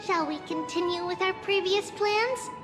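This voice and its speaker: A woman voicing a small, cheerful demigod girl in a video game, with a light childlike voice and an unhurried manner. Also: extremely high pitch, over music. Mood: bright, gentle. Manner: unhurried, speaks of herself as "we".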